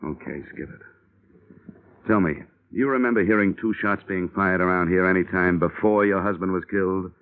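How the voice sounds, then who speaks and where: A man's voice: sits low, moderate pace, clean tone, close to an everyday voice. An actor playing a wisecracking male insurance investigator in a 1950s radio drama, with a wry, clipped tough-guy delivery. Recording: tinny old radio broadcast.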